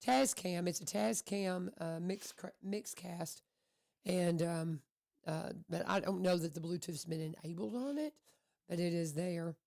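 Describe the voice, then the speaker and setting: A woman hosts a casual radio talk show in a fairly low, gravelly voice. She keeps her guest going with lots of short, encouraging affirmations. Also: measured pace, dark tone. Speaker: radio host. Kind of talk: casual radio interview chat.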